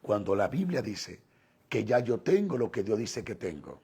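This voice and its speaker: A man with a Dominican Spanish accent preaching and teaching scripture in deliberate, emphatic, repeated phrases with pauses. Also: on the low side, very animated, warm tone, breathy edge. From Dominican Republic.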